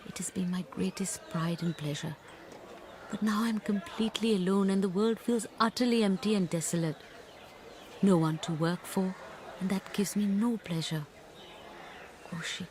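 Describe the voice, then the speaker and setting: A woman's voice: medium pitch, moderate pace, a little mellow, a little hiss or hum, lightly acted. A woman playing an earnest, lonely, world-weary and self-sacrificing woman in a radio drama, with formal, pleading phrasing. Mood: tender, pleading.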